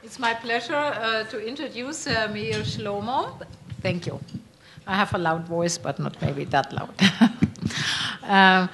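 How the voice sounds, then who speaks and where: A woman introducing a guest at a university panel, speaking in a halting way with many fillers. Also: medium pitch, fairly bright tone, slight background noise. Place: university lecture hall.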